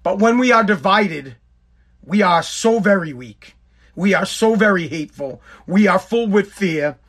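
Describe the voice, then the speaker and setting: A man's voice: high-pitched, lively intonation, measured pace, fairly bright tone, slightly rough. A man giving an emphatic, profane monologue to his viewers, repeating himself for effect. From United States.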